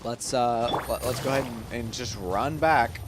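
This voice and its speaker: A man's voice: mid-range voice, normal speed, background noise. A young man giving casual let's-play commentary, joking and reacting in slangy language. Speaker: gaming streamer.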